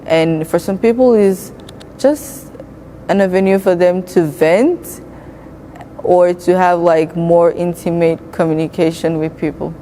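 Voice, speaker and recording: Young woman, student, soft-spoken, mellow, lowish, faint room noise. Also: fairly even intonation, unhurried, rough recording. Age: young adult. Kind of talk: interview answers.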